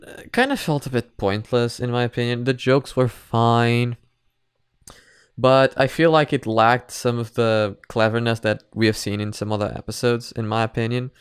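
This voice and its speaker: A man giving casual reaction commentary and opinions in a halting, repetitive way, often qualifying what he says. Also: medium pitch, clear, resonant tone, no room sound, studio quality. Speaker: reactor and reviewer.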